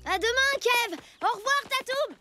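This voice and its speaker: A woman's voice: extremely high pitch, lively intonation, normal speed. A woman voicing a sweet, trusting little boy in a children's cartoon, earnest and eager. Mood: happy, trusting. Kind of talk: animated children's cartoon dialogue. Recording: music behind the voice.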